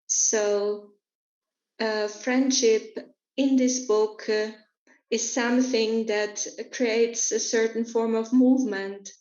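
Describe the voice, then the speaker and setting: Woman, interpreter, very slow, flat delivery, hesitant, roomy dull lo-fi sound. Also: on the high side. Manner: hesitant, many filler sounds.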